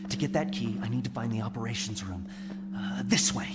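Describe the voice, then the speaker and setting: An actor in an audio drama playing a brooding rebel agent who talks himself through a dangerous mission. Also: male voice, mid-range voice, brisk, natural voice. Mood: determined, brooding. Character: brooding young rebel agent, narrating his own mission. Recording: long reverb, loud music behind.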